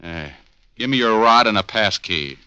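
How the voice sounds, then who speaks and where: An actor playing a tough, wisecracking private eye who talks in a sardonic, hard-boiled way, in 1940s radio acting style. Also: male voice, on the low side, lively intonation, moderate pace, highs cut off, heavy background noise, close to an everyday voice.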